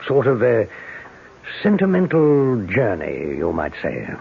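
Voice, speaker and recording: A leisurely senior English policeman, British accent, gravelly, animated pitch, radio drama, tinny sound. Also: slow pace, very noisy, heavily acted. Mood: wry, musing. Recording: tinny old radio broadcast.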